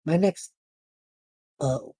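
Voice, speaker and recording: A woman playing an anxious, sarcastic young male magic student in an audio drama, rambling, flustered and interrupting himself, with a husky voice. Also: very slow, warm tone. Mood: sheepish. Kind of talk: audio drama acting, monologue and banter.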